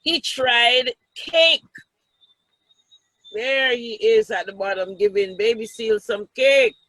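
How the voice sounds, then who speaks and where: A woman with a Jamaican accent reading a story aloud to children, expressive and teacherly, with asides to the listeners. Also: a little high, slow, dry recording, poor audio.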